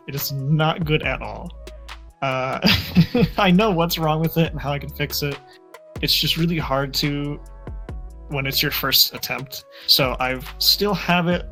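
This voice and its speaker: A man's voice: fairly high pitch, a bit bright. A young man answering interview questions in a casual, reflective and self-deprecating way. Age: young adult. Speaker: interview guest.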